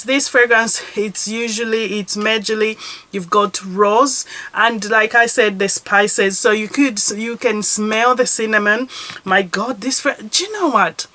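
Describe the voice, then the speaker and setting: A woman's voice: mid-range voice, sharp tone. A woman reviewing her perfume collection to camera in a chatty, gushing, enthusiastic way. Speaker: fragrance vlogger. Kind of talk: casual product review to camera.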